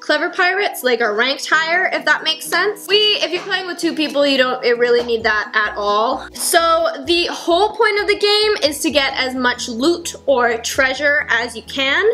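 A young woman in her twenties casually explaining board game rules at home, chatty with lots of fillers, her voice a little hoarse. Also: sits high, sharp tone. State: slightly hoarse. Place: home kitchen, indoors. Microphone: fixed camera at table.

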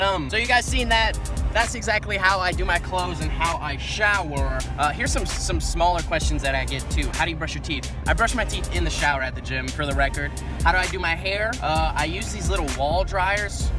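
A young man talking casually to a handheld camera from inside his parked car, in a loose, joking, rambling way. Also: a little high. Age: young adult. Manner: upbeat, rambling, jokey. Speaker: vlogger. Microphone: handheld camera at arm's length.

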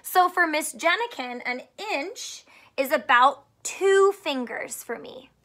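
High-voiced, slow-paced woman teaching children. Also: expressive, bright, forceful tone. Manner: explanatory, asks the listener questions. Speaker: teacher reading aloud to children. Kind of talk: children's story read-aloud with simple teaching. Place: small furnished room at home, indoors.

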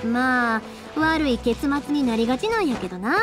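High-pitched, cheerful young Kansai woman. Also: moderate pace, music in the background. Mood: wistful, explaining. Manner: animated, earnest, informal. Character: cheerful young woman, big-hearted fireworks maker. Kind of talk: Japanese anime-style game voice acting.

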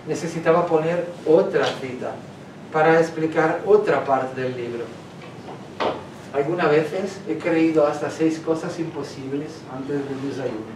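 A man in his forties with an Italian accent, giving a talk in Spanish that is not his first language, gesturing and searching for words now and then. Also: a little high. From Italy. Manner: gestures while talking, hesitant, searches for words. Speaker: guest speaker. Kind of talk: public lecture. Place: small lecture room in a civic centre. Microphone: far camera, no mic. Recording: echoey room from far off, noisy.

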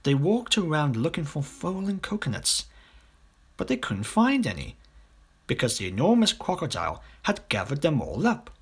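Male storybook narrator, slightly husky, animated intonation, scripted children's read-aloud. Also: fairly high pitch, moderate pace. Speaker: audiobook reader.

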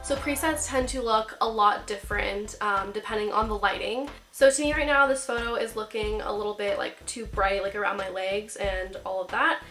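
A woman's voice: medium pitch, moderate pace, few pauses, sharp tone, soft background music. A young woman in her twenties, getting over a cold, chatting upbeat with lots of "like" as she vlogs from her apartment bedroom. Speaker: lifestyle vlogger. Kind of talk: casual chatty tutorial. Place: bedroom in a small apartment, seated on bed.

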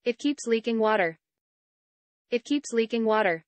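Clear higher-voiced woman reading English lines. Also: lively intonation, moderate pace, no room sound. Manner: repeats each sentence evenly. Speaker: English sentence reader. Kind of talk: slow, clear sentence reading for learners.

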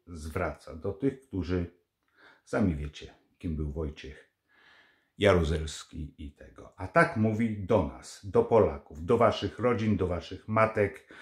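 An older man vlogging from his living room to a close camera, scolding and mocking in a sarcastic tone. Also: low voice. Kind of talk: angry political commentary to camera.

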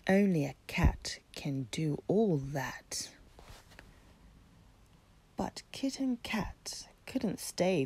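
A woman reading a children's picture book aloud in expressive, deliberate, dramatic phrases. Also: low-pitched, creaky, breathy edge. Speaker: children's story reader. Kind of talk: expressive storybook narration.

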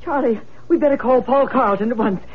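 A woman's voice: a little high, brisk, a crowd in the background, lightly acted. A woman playing an anxious but composed psychic medium out of trance in a radio mystery, earnest and hesitant. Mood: earnest, urgent. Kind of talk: radio mystery drama acting. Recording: tinny, noisy old radio recording.

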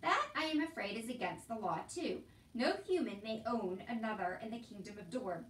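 A woman's voice: on the high side, lively intonation, moderate pace, a bit bright. A dutiful, officious male soldier from a storybook, acted out by a woman reader. He proclaims formally and sternly. The sound is roomy and set back from the microphone. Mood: stern, official. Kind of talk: storybook read-aloud character voice. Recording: roomy echo, distant from mic.

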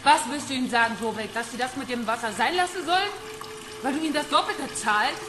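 A woman's voice: sits high, fast talker, close to an everyday voice. An actress playing a cold young woman in a crime radio play, mockingly taunting her captive. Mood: cold mockery. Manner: mocking, coolly detached. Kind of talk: radio crime drama acting. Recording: roomy echo, water noise behind.

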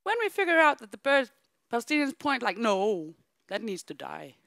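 Woman keynote speaker, lively storytelling, higher voice, swinging pitch, dry close sound from a handheld mic on a big theater stage. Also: normal speed, gravelly, dry recording. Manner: animated, acts out voices in quotes. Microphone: handheld microphone.

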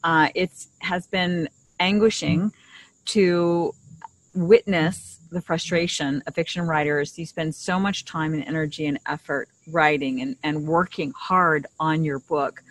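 A middle-aged woman co-hosting a casual podcast, explaining things haltingly and rephrasing as she goes, speaking outdoors into a shared desktop microphone. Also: low voice, fairly even intonation, rough recording. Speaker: podcast co-host. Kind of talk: casual conversational podcast talk. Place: outdoors in a leafy garden. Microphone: shared desktop condenser microphone.

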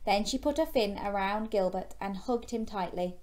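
A young woman reading a children's storybook in a gentle, expressive way, chatting with young listeners through questions. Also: mid-range voice, moderate pace. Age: young adult. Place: small bedroom, indoors.

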